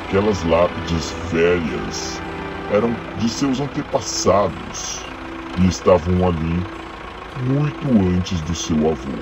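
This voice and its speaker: A man with a Brazilian accent narrating a scripted horror story in a deliberate, dramatic way with pauses. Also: low-pitched. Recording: dull audio under loud music.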